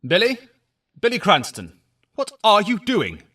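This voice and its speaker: Guy acting as angry stern teacher telling off a kid, audio drama, sharp voice, really up and down. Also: sits high, huge pitch swings, unhurried, full character voice. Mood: stern, shocked. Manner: scolding, exasperated. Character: stern, angry male schoolteacher scolding a student.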